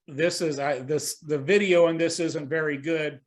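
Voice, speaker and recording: Guy presenting tech stuff on a video call, slightly high voice, hesitant and halting. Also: steady tone. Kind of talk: technical presentation.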